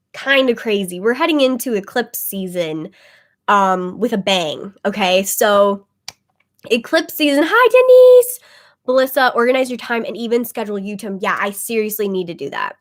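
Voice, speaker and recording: A woman's voice: medium pitch, lively intonation, moderate pace, a bit bright. A woman chatting casually on a webcam livestream, rambling with lots of "like" fillers and a slightly phlegmy voice. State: a bit phlegmy, congested. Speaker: tarot reader livestreaming to viewers.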